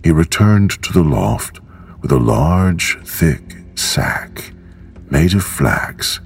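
A man reading a bedtime storybook in a gentle, measured, unhurried way. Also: low-pitched, animated, gravelly, faint music behind. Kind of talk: slow scripted storybook narration for sleep.

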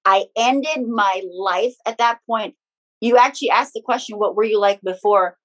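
A woman's voice: mid-range voice, moderate pace, fairly bright tone. A middle-aged woman from the Philippines, a podcast guest speaking casually and frankly about her own life in personal anecdotes. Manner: chatty, frank, personal anecdotes with small laughs. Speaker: guest, spiritual teacher and author. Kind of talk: casual conversational storytelling.

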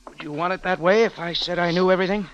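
An actor playing a tough, wry hardboiled adventurer who narrates and talks in an old-time radio drama. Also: male voice, high voice, steady tone, quick pace, lightly acted. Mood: serious, questioning. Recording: dull vintage radio recording with music.

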